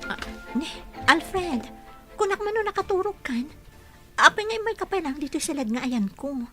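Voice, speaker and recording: A woman's voice: sits high, big pitch swings, moderate pace, sharp tone, soft background music, exaggerated voice. An actress with an Ilocano accent playing a flustered, coy young woman in a romantic radio drama. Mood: hesitant, coy.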